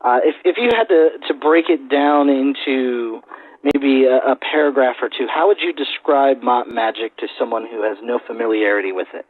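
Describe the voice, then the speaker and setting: A man hosting a podcast interview in a casual, measured and slightly hesitant way. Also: medium pitch, steady tone, phone-quality sound.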